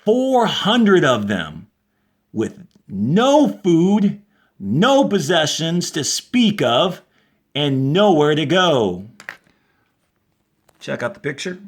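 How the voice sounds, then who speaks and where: A male schoolteacher reads aloud for young pupils. His delivery is slow and deliberate, with clear instructive pauses. The pitch moves expressively to lift key words. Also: big pitch swings. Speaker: fourth-grade teacher reading aloud to the class.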